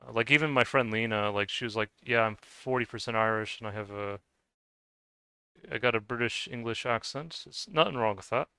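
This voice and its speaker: A man's voice: medium pitch, fairly quick, sharp tone, smooth, no echo. A young man with a slight Scottish-tinged accent, chatting casually and hesitantly while playing a game, often restarting and trailing off. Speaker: gaming video creator. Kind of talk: casual rambling commentary over gameplay.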